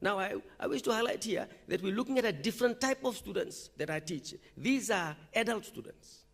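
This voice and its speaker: A man's voice: high voice, lively intonation, brisk, vocal fry, a bit bright. A male university lecturer speaking at a teaching forum, explaining his classroom methods in a conversational way and checking in with "okay?" often. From Fiji. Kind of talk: conference talk. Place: lecture hall or forum room.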